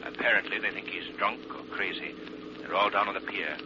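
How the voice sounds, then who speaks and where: Radio-drama hero, male actor as cool, grave, authoritative avenger, lowish pitch, muffled vintage recording. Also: noisy background, close to an everyday voice. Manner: measured, authoritative, solemn. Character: commanding male crime-fighting hypnotist, cool and grave. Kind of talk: 1940s radio acting.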